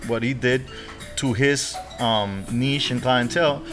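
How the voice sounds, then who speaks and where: Guy hosting a podcast, stumbles n repeats himself a lot, mid voice, music going in the back. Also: medium pitch. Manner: halting, repeats words, laughs often. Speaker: podcast host. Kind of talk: casual podcast chat.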